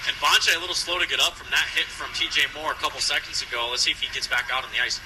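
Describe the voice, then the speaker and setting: A man's voice: fairly high pitch, steady tone, very fast, noisy background. A man giving conversational color commentary and analysis during a live hockey broadcast on radio.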